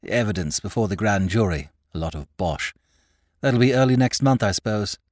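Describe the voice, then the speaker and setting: A man voicing a jovial, glib upper-class gentleman who chatters in chummy slang. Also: fairly low pitch, lively intonation, normal speed, no room sound, clean studio sound. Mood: breezy.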